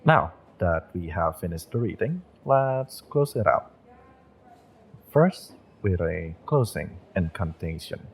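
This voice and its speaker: A man playing a kind, wistful witch and tarot shopkeeper in a roleplay audio, speaking to the listener in a gentle, encouraging, unhurried way. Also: low-pitched, big pitch swings, slightly rough, exaggerated voice. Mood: solemn, calming.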